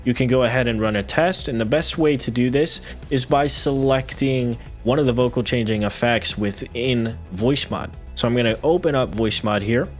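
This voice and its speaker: A man in his thirties hosting a software tutorial, speaking in a friendly, direct way to viewers into a close microphone. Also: mid-range voice, moderate pace, few pauses, faint music behind. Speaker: video tutorial host. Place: small room indoors at a desk. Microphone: close desk microphone with headset on.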